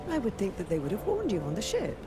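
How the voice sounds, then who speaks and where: An actress playing a brisk, courtly lady former governor in a video game, formal, commanding and proper. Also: mid-range voice, huge pitch swings. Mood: courteous. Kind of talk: dramatic video game acting. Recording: loud music behind the voice.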